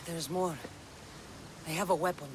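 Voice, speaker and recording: A woman's voice: on the low side, steady tone, moderate pace, background noise, close to an everyday voice. An actress playing a fierce, stubborn young Greek mercenary woman in a video game cutscene, speaking tersely and intensely. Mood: grave.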